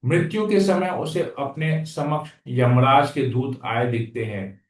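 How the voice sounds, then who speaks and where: A man's voice: medium pitch, lively intonation, unhurried. A man reading scripture verses aloud and then explaining them in plain conversational talk. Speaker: scripture class speaker. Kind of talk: Hindi scripture reading with commentary. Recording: echoey room, far from the mic.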